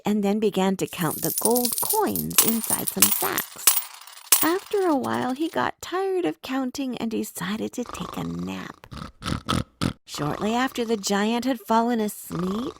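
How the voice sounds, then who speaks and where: A woman narrating a scripted bedtime story for young children in an expressive way, telling it as the main character. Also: fairly high pitch, gravelly, noisy background.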